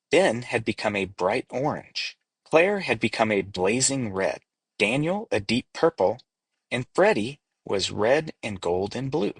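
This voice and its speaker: Husky, expressive man reading a storybook. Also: mid-range voice, dry recording. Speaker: storybook reader. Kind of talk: scripted storybook narration.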